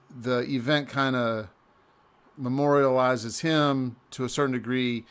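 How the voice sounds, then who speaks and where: A man, a native English speaker, casually walking through a screen-shared recap of a cycling event, often pausing and restarting mid-sentence. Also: medium pitch, a bit bright. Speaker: amateur cyclist reviewing an event.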